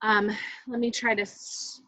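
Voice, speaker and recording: A woman's voice: on the high side, little pitch movement, a little slow, sharp tone, no echo. A woman moderating a video call, thinking aloud hesitantly as she gives casual technical help. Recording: dull, dry video-call audio.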